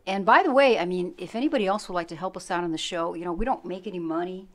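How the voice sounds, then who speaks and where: Woman host, low voice, chatty, friendly. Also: fast talker, fairly bright tone. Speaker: show host. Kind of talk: casual political chat.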